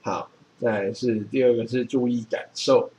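A man's voice: medium pitch, steady tone, moderate pace, a little mellow. A man, reading from a book and explaining it in a casual chat. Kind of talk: reading a book aloud and chatting about it.

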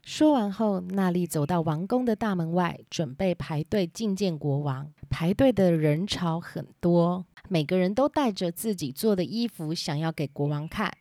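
A woman's voice: lowish voice, expressive, moderate pace, few pauses, dark tone, no room sound, studio quality. A woman narrating a scripted children's story as its storyteller. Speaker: storyteller and host.

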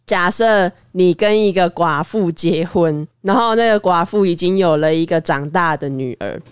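Female podcast co-host, Taiwanese Mandarin, smooth clean voice, close dry mic, casual chat. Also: fairly low pitch, no echo.

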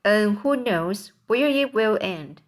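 A woman's voice: medium pitch, animated, takes it slowly. A woman reading as a gloomy, muttering earthworm. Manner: muttering, pessimistic. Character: gloomy grumbling male earthworm.

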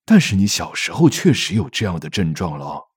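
A man's voice: low voice, very animated, brisk, breathy edge, heavily acted. A man playing a worried, courteous middle-aged father in a radio drama. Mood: gentle, courteous.

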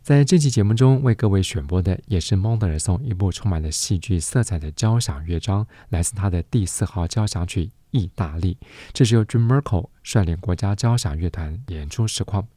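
A man with a Taiwanese accent hosting a radio interview programme in a measured presenting style. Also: deep voice, expressive, mellow, no room sound.

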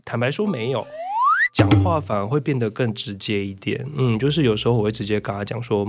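A man's voice: fairly low pitch, brisk, loud music behind the voice. A man with a Taiwanese Mandarin accent, talking frankly and reflectively as a guest in a personal interview. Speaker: interviewed author. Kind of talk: candid personal interview talk.

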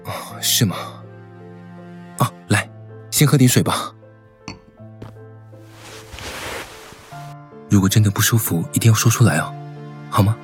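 An actor playing a gentle, devoted and protective young man in a romantic radio drama, speaking earnestly. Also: on the low side, moderate pace, soft background music, close to an everyday voice. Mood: concerned. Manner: tender, earnest, caring.

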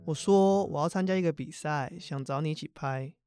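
Man acting impatient student grandson, Taiwanese accent, casual, expressive, grumbling, radio drama, clear music bed. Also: on the high side, a little fast, lightly acted. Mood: pleading, exasperated. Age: young adult. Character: Ah-Yi, bored impatient male college student grandson.

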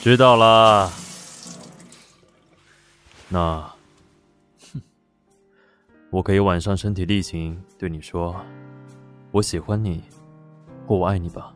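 A man's voice: low voice, slow pace, long pauses, fairly bright tone, soft background music. A man with a Taiwanese Mandarin accent playing a mischievous, flirtatious pastry chef and doting lover in an audio drama. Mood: flirty teasing. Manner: smooth, flirtatious, teasing.

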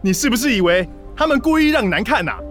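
A hot-headed young man with a Taiwanese accent snaps and taunts in a radio drama. His pitch is high and very lively, with music faintly behind him. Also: fast, heavily acted. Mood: defensive, furious. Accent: Taiwanese Mandarin. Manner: defensive, aggressive, taunting. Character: short-tempered, defensive young boyfriend devoted to his parents.

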